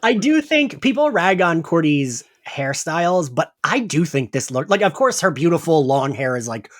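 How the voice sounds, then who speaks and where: A man co-hosting a casual podcast chat, animated and quick to laugh, with lots of fillers. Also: fairly high pitch. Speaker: podcast co-host.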